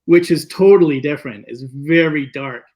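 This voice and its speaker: A man speaking as a guest filmmaker, telling stories in a casual, animated interview style. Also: a little high, normal speed.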